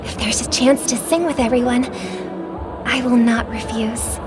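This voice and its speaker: A woman voices a young female celebrity singer from a video game. She is high-pitched, gracious and formal, with every phrase measured and courteous. Music plays clearly behind her. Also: fairly even intonation, close to an everyday voice. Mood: gracious, calm. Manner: polite, formal, composed. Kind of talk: scripted game voice acting.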